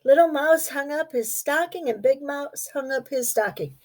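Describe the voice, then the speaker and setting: A woman reading a children's storybook aloud expressively, pausing to put questions to young listeners. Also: high voice. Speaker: storybook reader. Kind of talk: children's read-aloud narration.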